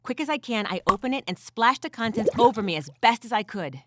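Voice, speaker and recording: A woman's voice: a little high, animated, brisk, heavy background noise, close to an everyday voice. A woman voicing a weary but gritty furred female adventurer who tells her story in the first person with wry asides, in an audio drama style. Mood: tense, urgent.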